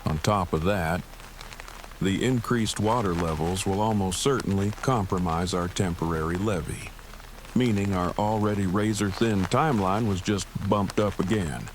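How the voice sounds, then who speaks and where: A man's voice: deep voice, moderate pace, slight background noise, close to an everyday voice, rough recording. An actor playing a formal, verbose, earnest man surviving an apocalypse in an audio drama, speaking stiffly and precisely. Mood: deadpan. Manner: stiff, precise, long-winded wording, dry. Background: rain ambience.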